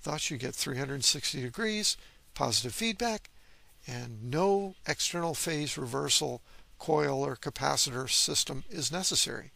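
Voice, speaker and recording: A man giving scripted technical narration as an instructor, in a measured, didactic manner. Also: fairly high pitch, animated, gravelly, sharp tone. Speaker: electronics instructor.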